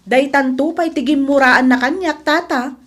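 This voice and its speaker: Woman acting gossipy resentful friend who tells on people, really high voice, ilocano radio drama. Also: normal speed, close to an everyday voice. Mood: gossipy, accusing. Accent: Ilocano Filipino. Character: gossiping, resentful young woman, the daughter's tell-tale friend.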